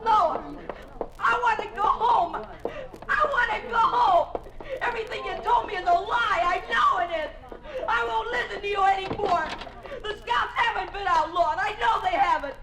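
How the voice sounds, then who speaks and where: A very high-voiced boy scout, frightened yet defiant. He pleads and repeats himself tearfully in old-fashioned radio drama style. The recording is an old, slightly noisy broadcast. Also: moderate pace. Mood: hysterical, anguished. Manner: pleading, repetitive, tearful outbursts. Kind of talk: 1950s radio drama acting.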